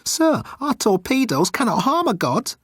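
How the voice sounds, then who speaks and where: A man voicing a timid, pleading young alien officer with a squeaky, flustered voice in a sci-fi audiobook. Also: big pitch swings, normal speed, a bit bright, breathy edge, no echo, clean studio sound, exaggerated voice. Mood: nervous, earnest. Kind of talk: audiobook character acting.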